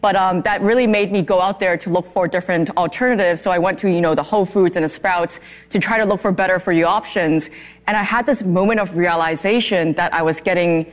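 A woman's voice: fairly low pitch, brisk. Chatty young woman from Singapore. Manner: chatty and candid, laughs easily. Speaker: company founder, guest. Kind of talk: casual morning TV interview.

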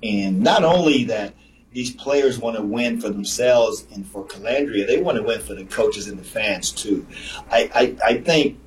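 A man who played the sport, a guest on a radio show, telling stories in a lively, repetitive way. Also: fairly low pitch, unhurried, rough recording. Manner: animated storyteller, repeats himself. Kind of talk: casual sports radio chat.

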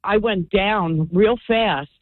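A woman's voice: mid-range voice, takes it slowly, dry recording. A woman calling in to a radio show, telling a story in an earnest, self-deprecating way over a phone line. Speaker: caller.

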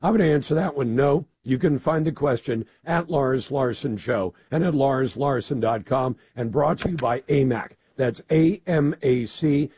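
A very husky, gravel-throated man hosts a live talk radio show from a studio. His tone is dark and mid-pitched, and he speaks emphatically, with firm opinions. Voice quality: gravelly, raspy.

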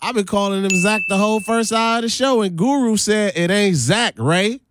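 A man's voice: sits high, moderate pace, noisy background. A man hosting a radio show in a hyped, slangy style, repeating catchphrases as he banters and makes announcements. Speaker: radio host. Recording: music bed under the voice. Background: music.

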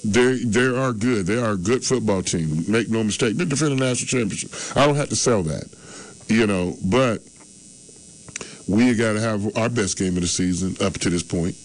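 A man's voice: fairly low pitch, normal speed, low-quality recording. A man in his early sixties grading his team's game in casual sports talk, rambling and repeating himself. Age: 60s. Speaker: sports show regular.

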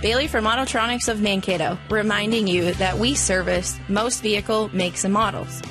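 A woman's voice: medium pitch, moderate pace, over music. A woman reading a scripted radio commercial in a friendly, upbeat way. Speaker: radio ad announcer.

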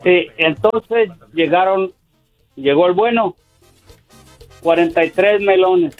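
An older man calling in to a radio show, talking in a hesitant, halting way with many fillers. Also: high-pitched, soft background music. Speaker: caller. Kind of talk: phone-in radio call. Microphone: telephone. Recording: tinny dry phone line.

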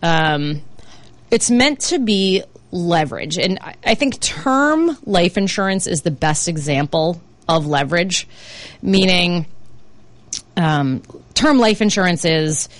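A middle-aged woman hosting a talk radio show, slightly hoarse and chatty, with many fillers and restarts. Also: fairly low pitch, lively intonation, takes it slowly, no room sound. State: slightly hoarse, under the weather. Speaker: radio show host, financial planner. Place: radio studio. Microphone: studio microphone.

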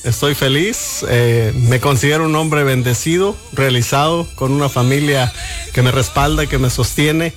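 A man's voice: mid-range voice, normal speed, soft background music. An older man from Mexico, a guest on a radio show, speaking earnestly and reflectively in a studio booth. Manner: earnest, reflective, with frequent restarts. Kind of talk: radio interview.

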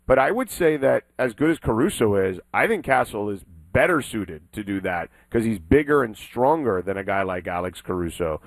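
A man's voice: fairly low pitch, no room sound, low-quality recording. A man co-hosting a casual sports talk show, emphatic and insistent, leaning hard on the key words.

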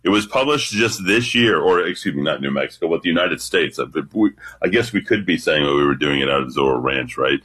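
A man with a deep voice calling into a radio show, talking confidently and animatedly. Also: animated, brisk, fairly bright tone, husky. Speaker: radio caller. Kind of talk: casual radio talk.

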